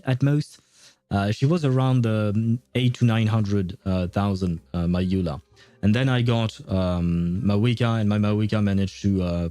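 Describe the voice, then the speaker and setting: A man's voice: fairly low pitch, dark tone, no room sound. A man livestreaming a video game, chatting casually with viewers in a conversational, meandering way.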